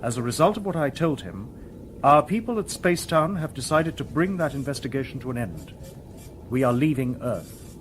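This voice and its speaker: An actor in a radio drama playing a polite, logical humanoid robot detective who speaks in formal, precise phrases. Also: male voice, medium pitch, animated, slight background noise, lightly acted. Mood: calm, explanatory. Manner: formal, precise, measured wording.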